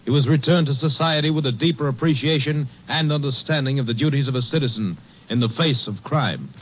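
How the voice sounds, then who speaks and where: A man's voice: medium pitch, fairly quick, over music. A man reading formal scripted narration as a 1950s radio announcer. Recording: muffled, noisy old radio recording.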